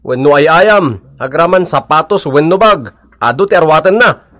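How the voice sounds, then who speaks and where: A man's voice: a little high, lively intonation, moderate pace, a bit bright, no room sound. An actor playing a boastful newly rich father in a radio drama, with a northern Philippine accent.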